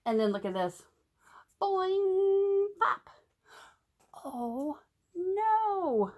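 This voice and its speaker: A woman reading a picture book aloud like a teacher to young children, animated and drawing out words, with questions to the listener and sing-song phrasing. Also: very high-pitched, very slow.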